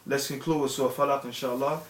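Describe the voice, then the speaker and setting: A man in his thirties, a father and religious teacher, gives an informal talk to viewers with many pauses, fillers and restarts. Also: medium pitch, fairly even intonation. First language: English. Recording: echoey room.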